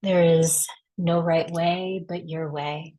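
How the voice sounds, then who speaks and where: A woman leading a guided body scan meditation, giving gentle, reassuring, unhurried instructions. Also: fairly low pitch, steady tone. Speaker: guided practice facilitator.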